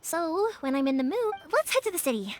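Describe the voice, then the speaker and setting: A woman voicing a cheerful, talkative young fireworks maker in a video game, excitable and prone to rambling. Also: extremely high pitch, brisk, a little hiss or hum. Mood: chatty, rambling. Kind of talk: English video game voice acting.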